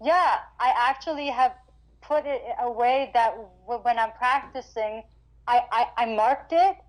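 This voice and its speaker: A woman's voice: a little high, rough recording. A woman singer talking casually in an interview about her experiences on stage, often restarting her sentences. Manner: chatty, frequent restarts and stammers. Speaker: guest singer-guitarist.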